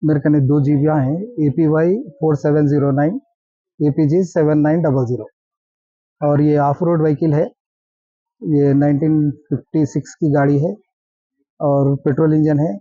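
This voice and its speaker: A man's voice: on the high side, little pitch movement, normal speed, dry recording. A man with a Hyderabadi accent explains things in a casual interview, mixing English terms into his speech. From Hyderabad, India. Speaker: vintage jeep owner and ham radio operator being interviewed. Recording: dull, dry lo-fi recording.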